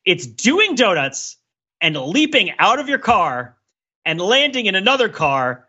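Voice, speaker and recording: A man's voice: high-pitched, big pitch swings, measured pace, sharp tone, breathy edge, highs cut off. A man co-hosting a podcast, casually discussing films with jokey mock-serious analysis. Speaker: podcast co-host.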